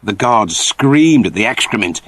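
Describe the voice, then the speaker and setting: A man's voice: lowish voice, animated, unhurried, a bit bright, dry recording. A man narrating a scripted story in a dramatic storytelling style. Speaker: audiobook narrator.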